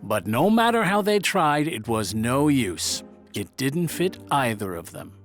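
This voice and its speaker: A man narrating a scripted children's fairy tale in an expressive, dramatic storytelling manner. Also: medium pitch, measured pace. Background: music.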